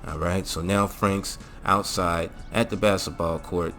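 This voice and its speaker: Casting: male gaming channel host, low voice, slangy and laid-back walkthrough commentary, music underneath. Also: steady tone, unhurried. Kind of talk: casual video game mod tutorial commentary. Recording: music behind the voice.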